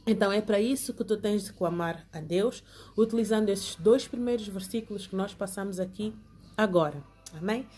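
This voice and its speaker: A woman's voice: medium pitch, warm tone. A young woman giving an online Bible lesson, partly reading from notes, earnest and often asking her listeners to say amen. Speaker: Bible class teacher.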